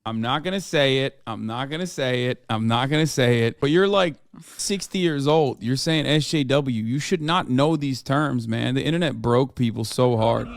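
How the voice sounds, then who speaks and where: A man's voice: medium pitch, moderate pace, gravelly, no echo, clean studio sound. Teasing, slangy young man co-streamer. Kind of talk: casual reaction stream commentary.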